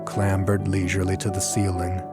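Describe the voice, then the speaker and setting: A man narrating gothic fiction as an audiobook, with measured, deliberate, dramatic storytelling. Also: deep voice, completely flat delivery, loud music behind the voice.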